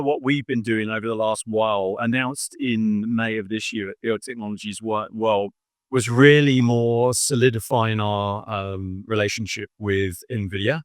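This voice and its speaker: A man talks through his points at an easy, conversational clip, leaning on "you know" as he explains. His voice sits at an ordinary middle pitch. The sound is dry and close. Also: fairly bright tone, no room sound. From England. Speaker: corporate marketing director. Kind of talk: conversational interview at a trade show.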